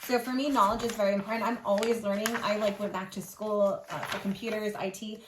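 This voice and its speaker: A woman in her forties chats casually as a co-host, with a mid-range voice. Her phrasing is informal and full of "like". The room around her is clearly echoey. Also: mid-range voice, flat delivery, quick pace, background noise. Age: 40s. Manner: informal, peppered with "like". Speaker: co-host of a witchy chat channel. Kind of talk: casual chat.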